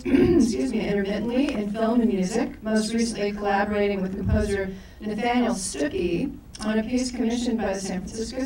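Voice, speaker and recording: Woman emcee, mid-pitched, distant in a very echoey hall, reads bios and trips over words. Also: a little mellow, slight background noise. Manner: reads bios with stumbles and self-corrections. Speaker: festival co-director introducing speakers. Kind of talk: live stage introduction. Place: large echoey event hall.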